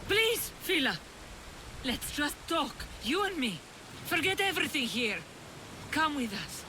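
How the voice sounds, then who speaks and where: Very high-voiced, pleading mother. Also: sing-song, a little slow, background noise. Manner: earnest, imploring. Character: pleading, loving mother reaching for her estranged daughter. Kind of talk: emotional video game cutscene acting. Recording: loud rainstorm and thunder behind. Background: heavy rain, thunder.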